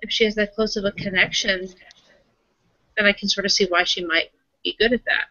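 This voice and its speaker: A woman's voice: mid-range voice, fairly even intonation, bright, forceful tone, no echo, lo-fi sound. A woman playing a tabletop roleplaying game, talking casually in character and often correcting herself as she explains. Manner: halting, self-correcting, explanatory.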